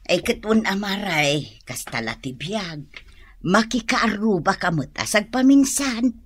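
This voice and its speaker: A woman's voice: mid-range voice, animated, unhurried. A woman playing a boastful, teasing neighbour in a comedy radio drama, with an Ilocano accent and a sing-song drawl.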